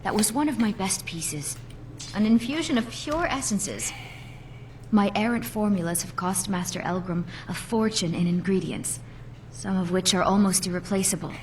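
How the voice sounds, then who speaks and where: An actress playing a bitter, jilted alchemist in a video game, with a clipped, haughty, wounded manner. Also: female voice, medium pitch, moderate pace, slight background noise, lightly acted. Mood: proud. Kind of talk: scripted game dialogue.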